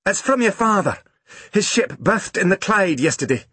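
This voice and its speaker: A man's voice: high-pitched, sing-song, normal speed, bright, forceful tone, breathy edge, no room sound, pitched above the performer's own voice, exaggerated voice. A man voicing a cheerful mother in a storybook reading. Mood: happy.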